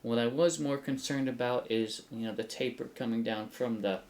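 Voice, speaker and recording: Halting middle-aged man, casual explainer. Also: medium pitch, steady tone, a little mellow. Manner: halting, thinking aloud. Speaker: host of a DIY flight simulator build channel. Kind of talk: casual hands-on build explanation. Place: small home workshop room, indoors. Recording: faint background room noise.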